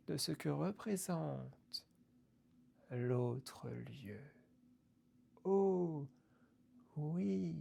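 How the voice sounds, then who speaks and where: A woman reciting poetry theatrically, deliberately, in short halting phrases that sometimes fall away toward a whisper. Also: rumbling low, vocal fry, warm tone, husky.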